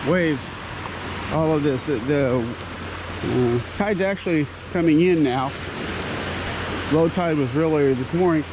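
A man's voice: a little high, measured pace, long pauses. A man in his sixties walking a beach at sunrise, chatting and telling a rambling story with playful puns into a handheld action camera. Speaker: teacher vlogger. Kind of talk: casual walking vlog narration. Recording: surf noise behind. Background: ocean waves.

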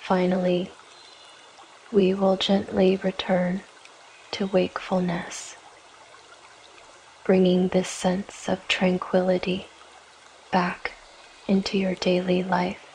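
A woman guiding a yoga nidra meditation in a gentle, soothing, measured way, leaving long pauses between short phrases. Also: fairly low pitch, monotone, a little hiss or hum, poor audio. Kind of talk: guided meditation, scripted narration.

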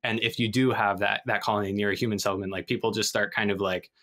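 Lowish-voiced man in loose casual chat. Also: flat delivery, fast talker, fairly bright tone. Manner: loose, speculative, seeks agreement. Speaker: podcast panelist.

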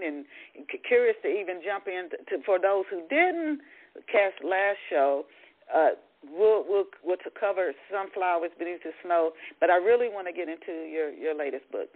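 A woman hosting a podcast in radio interview style, talking in a halting way and often rephrasing. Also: fairly low pitch. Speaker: podcast host. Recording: thin, phone-like radio line.

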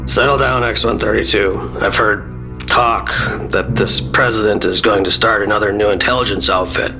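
An actor playing a brusque, authoritative general with clipped delivery in a radio drama. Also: male voice, fairly low pitch, fairly even intonation, moderate pace, music in the background, lightly acted. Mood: commanding, secretive. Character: gruff, brusque commanding general, male, middle-aged or older. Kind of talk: old-time radio drama acting.

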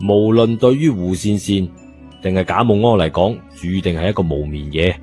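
A man's voice: lowish voice, darkish tone. A man with a Cantonese accent narrating an audiobook in colloquial storytelling style. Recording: background music under the voice.

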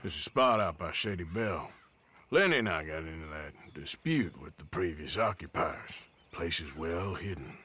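A man playing a gruff, wry outlaw gunman in a western game, with a husky voice and a plain-spoken, dry manner. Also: sits low, animated, normal speed, pushed and bright, exaggerated voice. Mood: matter-of-fact. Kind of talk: video game western acting.

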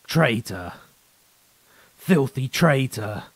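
A man's voice: medium pitch, animated, extremely slow, slightly rough, no room sound, full character voice. A man voicing a bitter, accusing captive soldier in a short story. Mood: angry, contemptuous. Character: bitter, distrustful captured young soldier, loyal zealot. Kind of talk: short story character reading.

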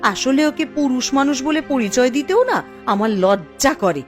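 A woman's voice: sits high, animated, normal speed, faint music behind. A woman voicing a discontented, ruthless village wife in a cartoon, dramatic and scheming. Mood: bitter complaining. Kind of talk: Bengali fairy-tale cartoon voice acting.

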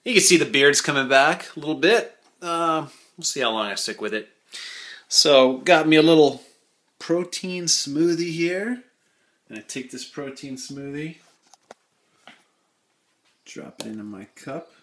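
A man's voice: on the high side, a little slow, long pauses, no echo. A man in his thirties talking casually about his gym workout, with plenty of ums and uhs. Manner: relaxed, many ums and uhs. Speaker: fitness vlogger.

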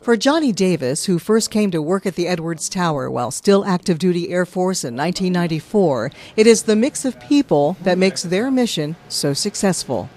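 A woman's voice: fairly low pitch, expressive, moderate pace, few pauses, darkish tone. An American woman reporter reading scripted narration for a news feature.

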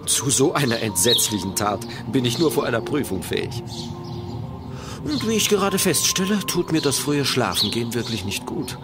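An actor playing an affable, evasive young student in a German radio play, wordy and mock-dramatic. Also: male voice, mid-range voice, sing-song, normal speed, loud music behind the voice, lightly acted. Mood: breezy, evasive. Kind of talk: German audio drama acting.

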